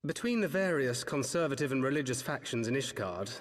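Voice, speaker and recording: A man's voice: medium pitch, expressive, a little fast, lightly acted. An actor playing a polite, inquisitive, well-bred man in a game, refined and formal in his phrasing. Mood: thoughtful. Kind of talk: dramatic game cutscene acting. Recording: long hall reverb.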